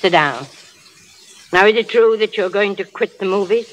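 Actress doing a blunt old granny in an old radio play, firm and scolding, bit of noise. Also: female voice, mid-range voice, normal speed, natural voice. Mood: stern. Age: older. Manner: firm, plain-spoken, admonishing. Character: stern, loving old grandmother with blunt resolve. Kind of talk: 1930s radio drama acting. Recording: noisy vintage radio broadcast.

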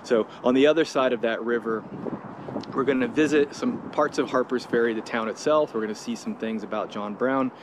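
A man in his thirties talks casually as he walks by a river, holding a phone at arm's length. His voice sits in an ordinary middle range. Loud wind and passing traffic roar over the recording. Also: medium pitch. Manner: casual, chatty, talks while walking. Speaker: outdoor educator. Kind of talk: walking vlog-style lesson. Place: outdoors by a river. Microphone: handheld phone at arm's length.

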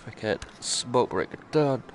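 A man's voice: medium pitch, expressive, slow pace, slight background noise. A man casually muttering to himself with mild swearing while live-streaming a game.

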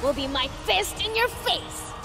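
A woman's voice: very high-pitched, lively intonation, measured pace, creaky, exaggerated voice. A woman voicing a small, cocky, hot-tempered boy demon prince in a video game, brash and taunting. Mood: cocky, combative. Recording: long reverb, faint music.

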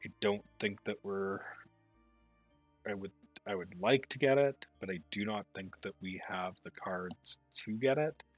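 A man giving casual, halting commentary as a developer playing his own game, thinking aloud as he goes. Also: fairly low pitch, no echo. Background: faint music.

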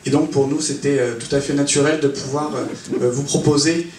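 A man hosting a question-and-answer session on a cinema stage, with a halting, hesitant delivery. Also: a little high, fairly even intonation. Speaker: festival presenter and interviewer. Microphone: handheld mic through PA, far camera. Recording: echoey cinema, distant camera mic, faint noise.

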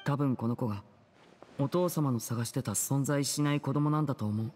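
A man playing a composed teenage older brother in an anime-style game, measured, serious and questioning, with music behind. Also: mid-range voice, a little mellow, natural voice. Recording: studio voice over game music. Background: background music.

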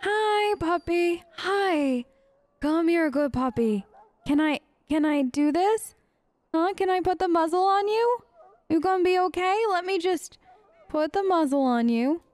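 A woman's voice: extremely high pitch, expressive, a little slow, a little mellow, no echo. A woman role-playing casually through a video game, chatty, earnest and informal. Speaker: let's play host role-playing as an elf character. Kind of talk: casual improvised role-play game commentary.

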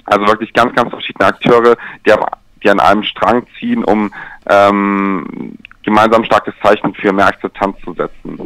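A man explaining things in a measured, structured way as a guest in a radio telephone interview. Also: fairly low pitch, level tone, a bit bright, dry recording, poor audio. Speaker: interview guest, LGBT pride event organizer. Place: remote, by telephone.

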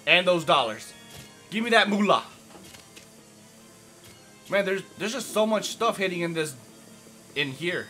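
Brief: young male let's-play host, hoarse and raspy, high and bright, chatty, faint game music behind. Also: expressive, measured pace, long pauses. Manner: chatty, rambling, lots of fillers. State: hoarse from yelling. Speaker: gaming channel host. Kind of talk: casual let's play commentary.

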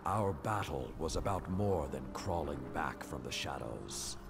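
An actor playing a solemn, weary last warrior lord in a video game, speaking slowly and gravely in dramatic narration. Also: male voice, animated, full character voice. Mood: proud, grave. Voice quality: gravelly, very deep. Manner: solemn, slow, weighty delivery. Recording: music under the voice. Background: cinematic music.

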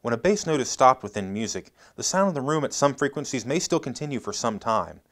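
Male product presenter, American, thirties, animated intonation, scripted how-to delivery. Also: medium pitch, a little fast.

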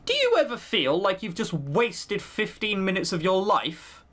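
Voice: mid-range voice, wildly swooping pitch, a little slow, fairly bright tone. A game commentator narrating a visual novel playthrough and commenting on it. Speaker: let's player reading a visual novel aloud.

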